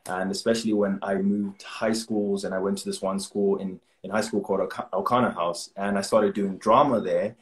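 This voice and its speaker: Young man from zimbabwe, low voice, earnest and chatty, echoey room, video call. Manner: earnest, chatty, laughs often. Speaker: actor guest. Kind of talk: casual interview over video call. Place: small room indoors at home.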